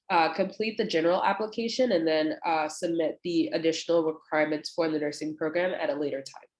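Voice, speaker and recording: A young woman with a congested, stuffy nose explains an application form step by step in a relaxed, friendly way, dropping in plenty of ums and uhs. Her voice sits fairly low for a woman. It carries the echo of a bare room picked up by a computer mic. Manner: casual and friendly, many fillers. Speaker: admissions representative. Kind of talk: walking through an online application on a screen share. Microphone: computer mic on a video call.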